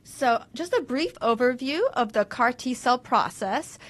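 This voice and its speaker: A woman physician lectures to a webinar audience in a slightly high voice. She explains each point step by step, leaning on "so" and restarting her sentences. Her first language is Russian, though she grew up in the United States. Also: animated, a little slow, fairly bright tone. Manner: explanatory, many "so" fillers and restarts. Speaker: physician presenter.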